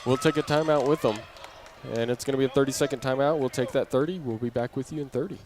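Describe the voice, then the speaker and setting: A man's voice: medium pitch, quick pace. A man doing live play-by-play basketball commentary in clipped, running phrases from a gym. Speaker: play-by-play announcer. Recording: noisy gym ambience, bouncing ball. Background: clear game noise and ball bounces.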